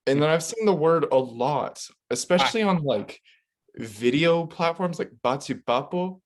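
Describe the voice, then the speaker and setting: A young man talking casually and laughing easily, loose and relaxed, with lots of "like" in his speech. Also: fairly high pitch, measured pace. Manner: laid-back, laughs often, many likes. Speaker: co-host, English speaker. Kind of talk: casual chat.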